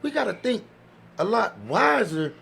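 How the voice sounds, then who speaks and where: A man's voice: high voice, very animated, measured pace, gravelly, a bit bright, husky. A man on a discussion panel chatting casually, slangy and anecdotal, with some swearing. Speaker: podcast panelist. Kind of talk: casual chat.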